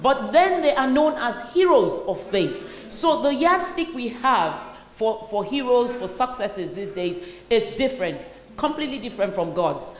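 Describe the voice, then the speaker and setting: Animated Nigerian woman hosting in a hall. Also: on the high side, a little slow. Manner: animated storytelling with laughter. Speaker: host. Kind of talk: lively onstage conversation. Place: large hall. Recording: echoey hall with faint noise.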